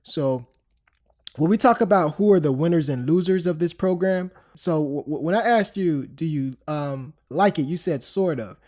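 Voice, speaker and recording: Stuffy-voiced chatty podcast host. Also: male voice, fairly high pitch, normal speed, a little mellow. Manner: chatty, rambling with fillers and restarts. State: stuffy from a cold. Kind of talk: casual podcast chat.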